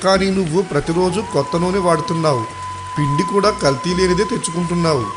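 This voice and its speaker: A man's voice: a little high, fast, lightly acted. Guy doing a kind dignified old headmaster, mentor type, moral story dialogue, music + rain under. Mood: approving, benevolent. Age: older. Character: dignified, kindly retired village headmaster, elderly mentor.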